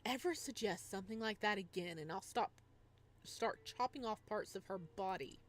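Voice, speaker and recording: A woman's voice: mid-range voice, normal speed, natural voice. A woman voicing a mocking, condescending, sadistic male stalker in a visual novel. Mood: venomous threat.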